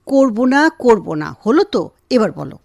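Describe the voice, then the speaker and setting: A woman playing an affectionate, gently insistent wife in a radio drama, with an expressive, tender delivery. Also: fairly high pitch, unhurried, vocal fry, dry recording, full character voice. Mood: coaxing. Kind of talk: Bengali radio drama acting.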